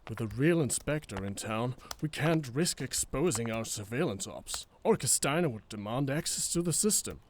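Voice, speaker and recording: An actor playing a wry, teasing military superior officer in an animated comic dub, with a sardonic manner. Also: male voice, mid-range voice, moderate pace, hardly any pauses, slight background noise, lightly acted. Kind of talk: animated fandub comic voice acting.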